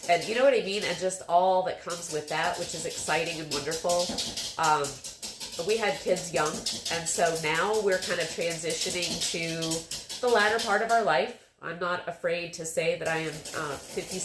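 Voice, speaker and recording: A woman's voice: fairly low pitch, normal speed. A woman in her fifties chatting casually as a livestream host, rambling with many fillers. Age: 50s. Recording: echoey room with background music.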